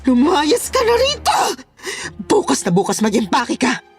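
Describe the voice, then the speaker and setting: A woman's voice: high-pitched, wildly swooping pitch, measured pace, husky, heavily acted. An unhinged, menacing grown daughter played by a woman in a horror audio drama. Her voice swoops widely between eerie sweetness and theatrical fury, over a music bed. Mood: furious.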